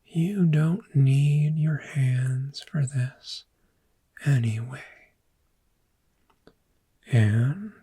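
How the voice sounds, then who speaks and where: A woman voicing a calm, patient dragon therapist in a gentle, breathy, soft-spoken ASMR roleplay, with measured, reassuring instruction. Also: sits low, heavily acted. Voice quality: breathy, whispery.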